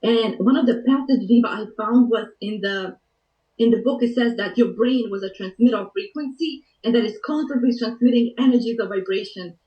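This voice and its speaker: A woman from Bosnia, a guest telling her personal story, earnest and emotional and softly spoken. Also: on the high side, brisk. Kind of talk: personal story on a talk show. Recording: muffled, roomy audio from a distance.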